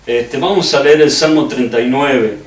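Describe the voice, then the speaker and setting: A man's voice: mid-range voice, moderate pace, distant mic. A middle-aged male preacher with a Rioplatense Spanish accent is heard a little away from the microphone in an echoey room. He delivers a conversational sermon, speaking earnestly and straight to the listener, often checking in with rhetorical questions.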